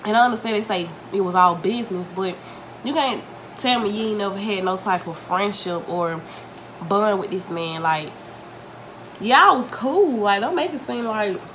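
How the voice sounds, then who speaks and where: A woman's voice: mid-range voice, animated, moderate pace, fairly bright tone, poor audio. Chatty young woman eating on camera. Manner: chatty, talks straight to viewers while eating. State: congested from a cold. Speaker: mukbang vlogger. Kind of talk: casual chat while eating. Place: home dining room, indoors. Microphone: camera mic at table distance. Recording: home recording with noticeable room noise.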